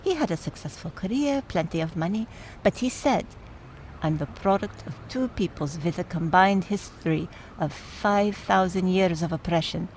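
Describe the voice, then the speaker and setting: A woman's voice: on the low side, moderate pace, a little mellow, a little hiss or hum, natural voice, rough recording. A woman playing a fond, opinionated, wry older aunt in mourning, in radio play dialogue. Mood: reminiscing, proud.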